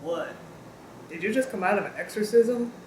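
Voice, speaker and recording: Young woman w/ a deep contralto voice, dry jokey vlog talk, kinda far from the mic, roomy echo, music playing. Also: animated, normal speed. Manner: dry, self-deprecating humor. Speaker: YouTuber. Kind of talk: casual vlog monologue. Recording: echoey room, mic at a distance, music behind.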